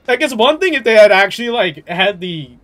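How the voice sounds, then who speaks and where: A man's voice: sits high, fairly bright tone. A man chatting casually over gameplay as a livestreamer, rambling and opinionated, with slangy, amused, incredulous talk and some swearing. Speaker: livestreamer playing a video game.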